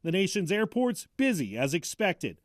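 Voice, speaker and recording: A man's voice: sits high, sing-song, moderate pace, dry recording, clean studio sound. A man with an American accent narrating a scripted television news report.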